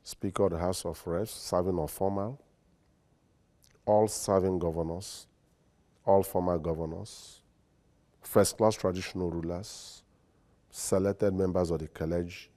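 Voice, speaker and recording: A man with a Nigerian accent, a lawyer and guest on a studio interview, speaking in a formal, deliberate and emphatic way. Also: low voice, fairly even intonation, mellow. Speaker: guest, lawyer and secretary general.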